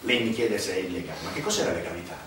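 Guy playing sardonic stage narrator, dramatic pauses, declamatory, super echoey and far off mic. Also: deep voice, natural voice. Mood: sardonic, insinuating. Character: sardonic male storyteller recounting a dark financial scandal. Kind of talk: theatrical stage monologue. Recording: echoey hall, distant mic, noisy.

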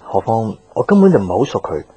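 An actor playing a proud, guarded young boyfriend in a Cantonese radio drama, speaking with a Hong Kong accent in a terse, restrained way. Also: male voice, on the low side, sing-song, quick pace, mellow, husky, full character voice. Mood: firm, defensive. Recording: background music under the dialogue.

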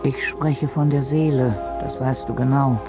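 An actor playing a mysterious, teasing companion and calm spiritual guide, gentle and instructing, in a radio drama. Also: male voice, medium pitch, unhurried, music in the background, lightly acted. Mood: teasing, reassuring.

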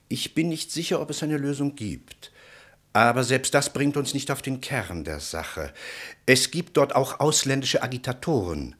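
A worldly traveler in a radio drama, telling grim anecdotes wryly. The voice is mid-pitched and husky, with a very animated melody. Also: male voice, measured pace. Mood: deliberating. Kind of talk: German radio play acting.

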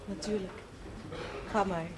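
Actress as guarded sad daughter in an old tv drama, bit of background noise. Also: female voice, medium pitch, fairly even intonation, a little slow, natural voice. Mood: subdued, guarded. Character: sorrowful, guarded grown daughter of an ageing father. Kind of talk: Dutch 1970s television drama acting. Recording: noisy 1970s television soundtrack.

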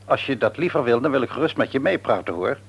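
Frank older father, husky, expressive. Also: mid-range voice, fast talker. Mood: reasoning. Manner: reasoning, hesitant at times, frank. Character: measured, frank older father gently reproving his grown son. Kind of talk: radio drama acting.

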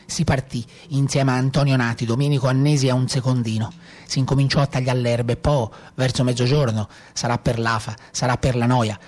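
An actor playing a rugged escaped brigand who tells his own story in a Tuscan accent, in a brisk, matter-of-fact theatrical style. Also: mid-range voice, a little mellow, natural voice. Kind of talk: first-person theatrical storytelling.

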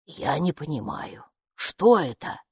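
A woman's voice: low voice, big pitch swings, normal speed, slightly rough, no room sound, clean studio sound, heavily acted. Woman voicing an anxious, motherly storybook gatekeeper creature. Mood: alarmed, puzzled. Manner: fretful, doting, repeats words in lament. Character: anxious, motherly green-skinned female underground gatekeeper creature. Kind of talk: children's audiobook character voice.

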